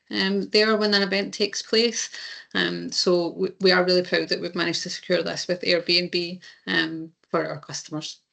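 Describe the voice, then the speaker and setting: A woman's voice: lowish voice, vocal fry, fairly bright tone. A woman with a Scottish accent giving a webinar presentation, steadily explaining slides as an insurance claims presenter.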